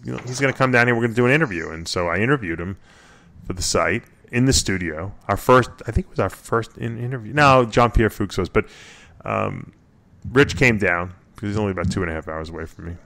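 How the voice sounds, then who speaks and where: A man's voice: fairly low pitch, fast, gravelly, fairly bright tone. A man hosting a casual radio talk show, rambling and joking with plenty of fillers. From Florida. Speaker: host.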